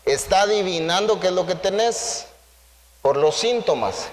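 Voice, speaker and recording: A man with a Central American accent preaching conversationally to a congregation, animated and playful, full of rhetorical questions and acted-out dialogue. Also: high voice, unhurried. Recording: echoey hall with long reverb.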